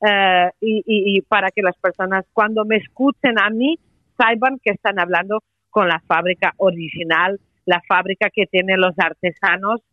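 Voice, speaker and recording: A woman with a Portuguese accent, a company representative making a persuasive sales pitch by phone on the radio, hesitating often with "eh". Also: fairly even intonation, moderate pace, no room sound. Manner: persuasive, many hesitations and "eh" fillers. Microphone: telephone. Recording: tinny phone line, dry.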